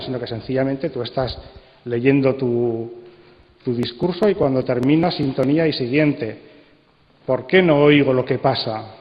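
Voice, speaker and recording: Assertive higher-voiced man in an echoey hall. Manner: assertive, argumentative, explains with rhetorical questions. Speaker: congress speaker answering questions. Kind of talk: spontaneous panel answer. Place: large indoor congress hall. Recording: echoey hall, long reverb, dull sound.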